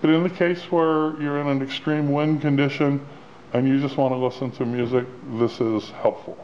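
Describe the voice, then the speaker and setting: A man's voice: mid-range voice, level tone, moderate pace. A man giving a plain-spoken, step-by-step instructional walkthrough of a product as its demonstrator. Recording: dull lo-fi sound with clear background noise.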